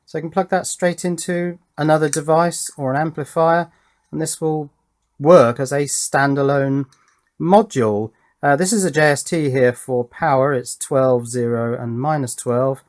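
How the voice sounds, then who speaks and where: A man giving a casual hands-on electronics demonstration, musing aloud with pleased enthusiasm as he works. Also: medium pitch. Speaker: electronics hobbyist presenter.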